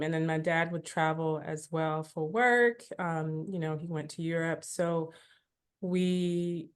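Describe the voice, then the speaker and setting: Reflective woman recalling her move, lower voice. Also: clean tone. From Africa. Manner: reflective, many "you know" fillers. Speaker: guest. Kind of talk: casual interview storytelling.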